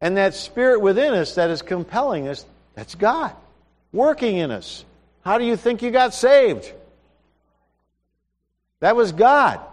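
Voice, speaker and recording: High-voiced man preaching in a hall. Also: sing-song, measured pace, pauses often. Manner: conversational preaching with rhetorical questions. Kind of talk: sermon.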